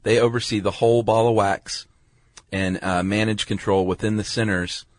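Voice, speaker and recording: A man's voice: fairly low pitch, fairly even intonation, moderate pace. A man co-hosting a podcast, explaining step by step in a colloquial, laid-back, slightly hesitant way. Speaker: podcast co-host. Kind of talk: casual explanatory chat.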